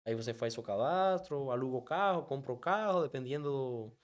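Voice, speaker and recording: A man from Cuba, a native Spanish speaker with a Cuban accent, telling a story in a casual interview and slipping Spanish words into his Portuguese. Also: medium pitch. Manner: animated, quick, mixes Spanish words into Portuguese. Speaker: guest, app driver.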